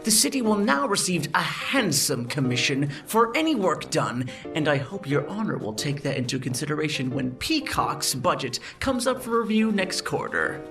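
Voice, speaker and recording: A man playing a smooth, formal and self-satisfied city official in an audio drama. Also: a little high, big pitch swings, moderate pace, hardly any pauses, distant mic, music in the background. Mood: smug.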